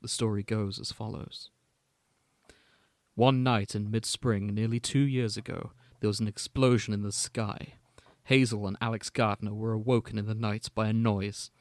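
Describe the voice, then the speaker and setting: A man's voice: fairly low pitch, flat delivery, normal speed, dry recording. Man podcasting, very dry close sound. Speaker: podcast co-host. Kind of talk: casual chat about fanfiction, with passages read aloud.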